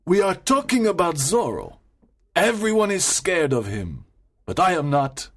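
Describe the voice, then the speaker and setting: A man's voice: high voice, wildly swooping pitch, a bit bright, voice pushed up, full character voice. A man doing a blustering, boastful soldier sergeant. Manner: blustering, slow and emphatic. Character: boastful loud male army sergeant, adult. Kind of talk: read-aloud story voice.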